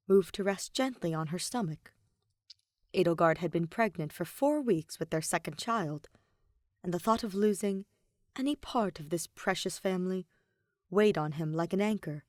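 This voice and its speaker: A woman reading fan fiction as scripted dramatic narration, soft, measured and expressive. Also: on the low side, dry recording, clean studio sound. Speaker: fan fiction audiobook reader.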